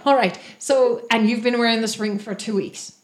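A woman's voice: a little high, moderate pace. A woman with a light Irish accent, narrating as the game master of a tabletop role-playing game, chatty and fond of puns. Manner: chatty, punny, laughing asides.

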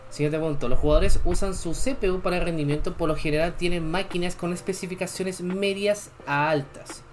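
A man's voice: high-pitched, fairly even intonation, a little fast, slight background noise. A young man from Chile, a streamer reading game patch notes aloud and chatting casually with viewers between points. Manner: casual, chatty asides between read-out items.

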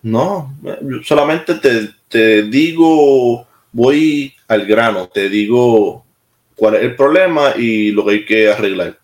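A man with a Puerto Rican accent speaks at a middle pitch, and his voice rings around an echoey room. He talks as an interview guest and gets straight to the point, often restarting his phrases. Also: slow. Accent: Puerto Rican Spanish. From Puerto Rico. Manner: direct, plain-spoken, with hesitant restarts. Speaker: military musician, interview guest. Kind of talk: casual interview talk.

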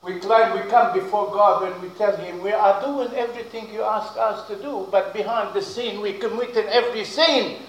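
High-pitched pastor preaching in echoing church. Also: male voice, steady tone, normal speed, few pauses, slight background noise. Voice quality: non-native English, broken grammar. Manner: loud preaching, rising to forceful emphasis. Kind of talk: sermon. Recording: very echoey room.

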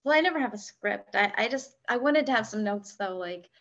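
A woman with a high, youthful-sounding voice, chatting casually on a podcast panel and rambling with restarts. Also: animated, a little fast, fairly bright tone, lo-fi sound. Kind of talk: casual chat.

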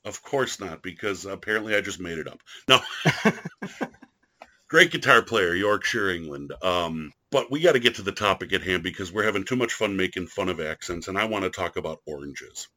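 Bright-voiced jokey male host. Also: deep voice, expressive, moderate pace. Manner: jokey, teasing. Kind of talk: casual chat.

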